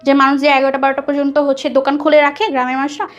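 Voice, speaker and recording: A woman's voice: high-pitched, little pitch movement, very fast, dull audio. A young woman with a Bangladeshi accent telling a personal story conversationally as a guest, acting out what people said. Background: background music.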